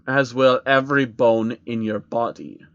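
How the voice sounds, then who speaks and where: A man playing a scheming billionaire madman with a deliberate, threatening manner of speaking. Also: mid-range voice, fairly even intonation, gravelly, fairly bright tone, no echo, lo-fi sound. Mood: menacing.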